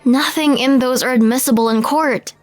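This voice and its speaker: A woman playing a skeptical, easily shocked alien roommate in a scripted audio drama, questioning her friend with measured disbelief. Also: high-pitched, no room sound, lightly acted. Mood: wary, reasoning. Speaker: voice actor in audio roleplay.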